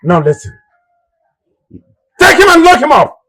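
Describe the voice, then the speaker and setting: A man's voice: a little high, very animated, unhurried, breathy edge, no room sound, full character voice. An actor with a Nigerian accent playing a stern, imperious king and father in a royal drama, with a strained, declamatory delivery. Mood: furious, commanding. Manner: declamatory, repeats commands for emphasis. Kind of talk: Nollywood royal drama acting.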